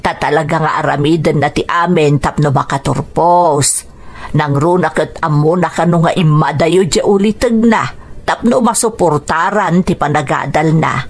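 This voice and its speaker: A woman's voice: sits low, normal speed. A woman playing a caring, anxious mother in a radio drama, tender and earnest, with an Ilocano accent. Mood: earnest, concerned.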